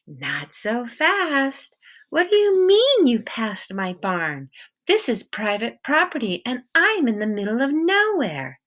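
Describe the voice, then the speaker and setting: A woman reading the part of an eccentric, sharp-tongued middle-aged woman with a wooden leg, who probes and mocks as she speaks. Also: a little high, wildly swooping pitch, slow, voice pushed up, full character voice. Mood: probing. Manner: mocking, probing, sharp-tongued.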